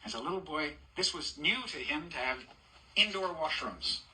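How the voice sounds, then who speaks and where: Older male storybook reader, high-ish, very bright voice; chatty, asks the kids questions between pages. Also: expressive, moderate pace, low-quality recording. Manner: warm, chatty, stops to ask children questions and point at pictures. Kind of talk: reading a picture book aloud to children.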